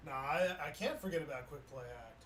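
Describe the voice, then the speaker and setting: A male streamer with a slightly hoarse voice, chatting casually and laughing as he plays a game. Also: on the high side, a little fast, reverberant, distant mic, slight background noise. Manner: relaxed, chatty, laughs often. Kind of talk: casual gaming chat.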